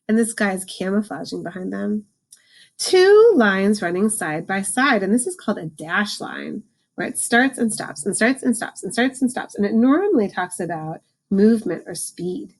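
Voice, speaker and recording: A woman reading a picture book aloud to children, adding informal explanations and slowing down for the book's lines. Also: medium pitch. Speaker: read-aloud host, teacher for children.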